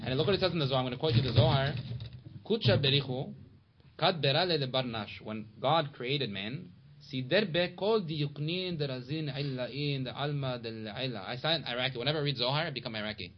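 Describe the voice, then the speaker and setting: A man teaching a casual Torah class to a small study group, chatty and quick, reading Hebrew and Aramaic passages aloud. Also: mid-range voice. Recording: dull lo-fi recording with steady background noise.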